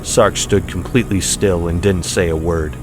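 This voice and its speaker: A man's voice: sits low, steady tone, moderate pace. A man giving a dramatic, scripted audiobook narration of a story, with music behind him.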